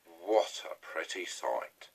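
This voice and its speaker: A man voicing a nasty, scheming old husband in a children's storybook, slow and gloating. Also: deep voice, animated, husky, heavily acted. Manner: slow, gloating, mock-concerned.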